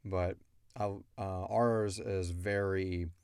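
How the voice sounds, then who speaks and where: Man, low voice, casual podcast co-host, rambling informal explanations, very dry sound. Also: fairly even intonation, takes it slowly, darkish tone, clean tone, no room sound. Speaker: podcast co-host and audio drama creator.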